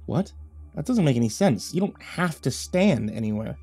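A man performs a worried, exasperated husband in a horror story. He speaks urgently and emotionally, with very lively pitch that climbs above a normal talking voice. Faint music sits behind the dry recording. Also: normal speed, breathy edge, no echo. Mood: bewildered. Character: worried, exasperated husband pleading with his wife. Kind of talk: dramatic horror story dialogue.

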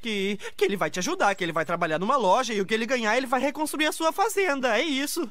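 An actor playing a small, nervous, blurting boy sidekick in an animated film dub, with a Brazilian accent. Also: medium pitch, fast, dry recording, natural voice. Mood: flustered.

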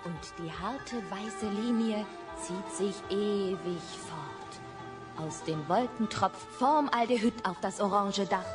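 An actress playing a fiery, dramatic young actress in a German radio crime drama. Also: female voice, medium pitch, slow, natural voice. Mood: brooding, poetic. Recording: studio radio play with music behind.

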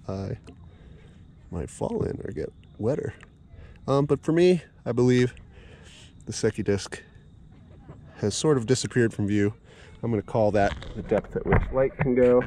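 A man in his thirties in a kayak on a lake, casually explaining a water test with fillers and thinking aloud. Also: mid-range voice. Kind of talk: casual outdoor demonstration. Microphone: action camera at arm's length. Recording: noisy outdoor camera audio.

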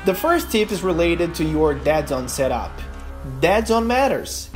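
Brazilian man, lively tutorial voice-over. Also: on the high side, measured pace, soft background music. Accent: Brazilian Portuguese. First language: Portuguese. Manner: earnest, emphatic, non-native phrasing. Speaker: gaming youtuber.

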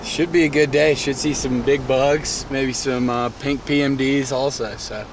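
A man's voice: medium pitch, fairly even intonation, measured pace, background noise. A man talking casually as a fishing guide, laid-back and giving instructions. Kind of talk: casual chat while fishing. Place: in a pickup truck, then outdoors on a river. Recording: distant mic with loud music behind.

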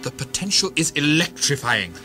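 A man's voice: a little high, very animated, moderate pace, over music. An actor playing an eager male scientist in a sci-fi audio drama, theorizing in articulate, formal language. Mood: awed, excited.

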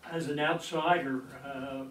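An older man on a panel, reminiscing in a plain-spoken, halting way. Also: on the high side, steady tone. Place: community meeting room. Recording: echoey room, distant mic, background noise.

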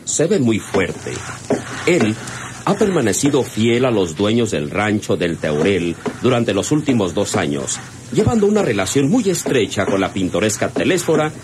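A man's voice: fairly low pitch, sing-song, moderate pace, background noise. A man narrating a radio serial in a dramatic, scripted style.

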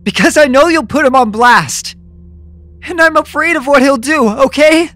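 A man's voice: extremely high pitch, normal speed, pushed and bright, reverberant, big-room sound. A man voicing a wary, anxious teenage schoolboy investigator in a comic dub. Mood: frightened, frantic. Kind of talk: dramatic comic dub acting with inner monologue.

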